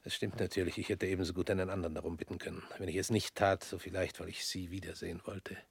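An actor in a radio play voicing a weary former prosecutor, measured and probing, sometimes dropping to a near whisper. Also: male voice, low-pitched, level tone, gravelly, husky, exaggerated voice.

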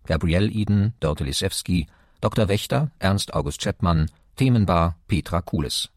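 Announcer, male: very low voice, standard German, scripted credit reading, dry studio sound. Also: no echo.

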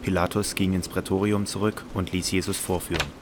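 A man narrating a scripted Bible audio drama in a measured, sober way. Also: deep voice, flat delivery, background noise.

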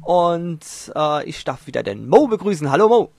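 A man's voice: on the high side, sing-song, normal speed, creaky, darkish tone, dry recording. A man hosting a casual podcast from a small home studio, chatting in a jokey, self-mocking way, his mic distance shifting now and then. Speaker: podcast host. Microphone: home mic, distance varies.

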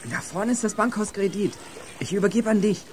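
A high-pitched teenage boy played by a young man, somewhat anxious, in a German youth audio drama. He speaks over clearly audible ambient noise. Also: fairly quick, lightly acted. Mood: easygoing. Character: anxious chubby teenage boy, worried about carrying money. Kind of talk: German youth radio play acting.

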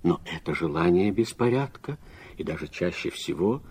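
An actor playing a grave, thoughtful man musing on youth in a literary radio drama, speaking in a measured, deliberate, philosophical way. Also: low voice, expressive, a little mellow, slightly rough.

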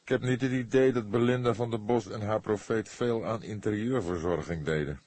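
A man voicing an old, weary police detective, husky, thoughtful and deliberate in his speech. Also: lowish voice, darkish tone, no room sound, voice pushed up. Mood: thoughtful, doubtful. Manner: hesitant, deliberate, measured.

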